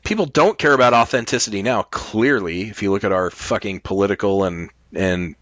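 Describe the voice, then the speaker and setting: Man, slightly deep voice, dry recording, casual podcast talk, opinionated, emphatic, freely swearing. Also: a little fast, a bit bright, no room sound, dull audio. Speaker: podcast host. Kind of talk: casual chat.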